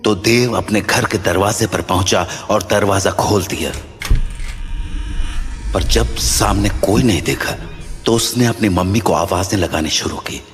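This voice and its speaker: Low-voiced male horror narrator, long reverb. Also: a little slow. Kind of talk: scripted horror story narration. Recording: long reverb, music underneath.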